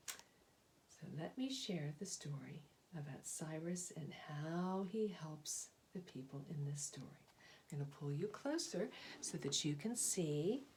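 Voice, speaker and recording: A woman storyteller addressing young children, introducing and reading a picture book in an expressive, explanatory way. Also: deep voice, a little hiss or hum. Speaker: children's read-aloud storyteller.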